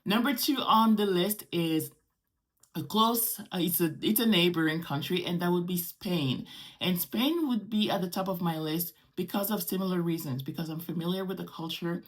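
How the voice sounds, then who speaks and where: A woman's voice: on the low side, normal speed. A middle-aged woman from Morocco whose first language is French, talking conversationally in a casual solo vlog as its host, often repeating phrases for emphasis.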